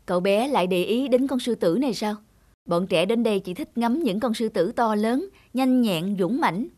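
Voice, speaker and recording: A man voicing a kindly, sympathetic zookeeper who explains things calmly in a children's cartoon. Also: high voice, moderate pace. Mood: pensive. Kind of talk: dubbed children's cartoon dialogue. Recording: music behind the voice.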